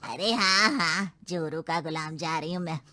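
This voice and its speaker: A woman's voice: low voice, very noisy. A woman voicing a gossipy, teasing older neighbor aunt in a cartoon story. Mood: sulky. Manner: slow, mocking, laughing.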